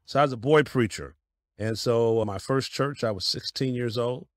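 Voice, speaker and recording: Folksy middle-aged pastor, dry close sound. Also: male voice, medium pitch, moderate pace, no room sound. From Denver, Colorado. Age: 50s. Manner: folksy, storytelling, laughs easily. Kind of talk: interview guest telling life story.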